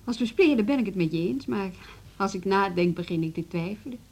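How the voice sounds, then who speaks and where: An actress playing a thoughtful, doubting music teacher in a radio drama, questioning and conciliatory in manner. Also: female voice, mid-range voice, lively intonation, fairly quick, a little mellow.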